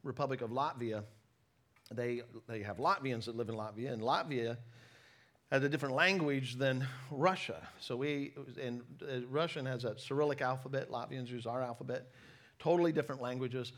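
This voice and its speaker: A middle-aged American man giving a sermon with personal stories, conversational but emphatic, pausing deliberately between phrases. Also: medium pitch, fairly bright tone. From United States. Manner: conversational, emphatic with deliberate pauses, self-deprecating. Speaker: preacher, former missionary.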